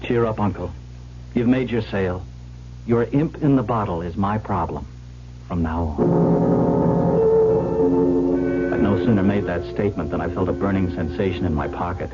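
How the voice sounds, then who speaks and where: An actor playing a breezy, wry young heir in a radio drama, both in dialogue and as narrator. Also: male voice, deep voice, normal speed, long pauses, over music, close to an everyday voice. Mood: flippant. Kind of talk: radio drama acting with first-person narration. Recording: dull old radio recording.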